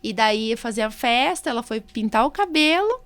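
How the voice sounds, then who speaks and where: A woman's voice: a little high, lively intonation, moderate pace, fairly bright tone. A woman with a Brazilian Portuguese accent talking casually and informally as a podcast host, in a chatty conversational way. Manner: chatty, informal, recounts exchanges in acted-out dialogue. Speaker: podcast host, allergist doctor.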